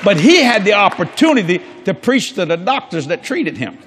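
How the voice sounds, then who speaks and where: A man's voice: sits high, sing-song, normal speed, a bit bright, some chatter behind, slight background noise. An older man preaching a sermon on a large church stage before a congregation, folksy and jokey, acting out quoted people in different voices.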